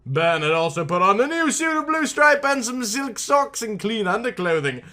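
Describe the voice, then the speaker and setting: Male storybook narrator, highish and very bright, dramatic delivery that stretches key words and swells and falls. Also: very animated, moderate pace. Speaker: reader of a storybook. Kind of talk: theatrical comic audiobook narration.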